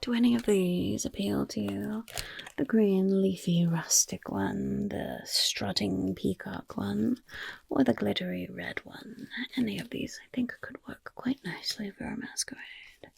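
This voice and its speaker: A woman voicing a friendly female goblin rogue in an ASMR fantasy roleplay, with a breathy, raspy whisper and gently encouraging, genteel phrasing. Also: mid-range voice, measured pace, exaggerated voice. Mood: helpful, musing.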